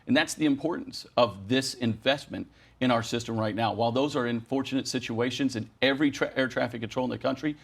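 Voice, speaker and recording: A man's voice: medium pitch, fast, gravelly, husky. Earnest man advocating in an interview. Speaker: air traffic controllers' union president. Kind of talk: television news interview.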